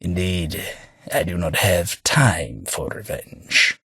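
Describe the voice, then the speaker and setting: Casting: mild, wry English gentleman for a game, low-pitched, slow, slightly husky, dry booth. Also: animated, dry recording, full character voice. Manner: mild, self-deprecating, drily humorous. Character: gentle hapless posh English gentleman, a former clerk. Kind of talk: game character voice lines.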